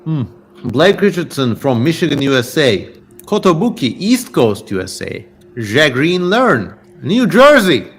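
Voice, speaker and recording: A man's voice: a little high, big pitch swings, measured pace, slightly rough. A man from Turkey with a Turkish accent, hosting a casual livestream in a playfully theatrical way, chatting and reading out viewer comments. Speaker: livestream host and reader.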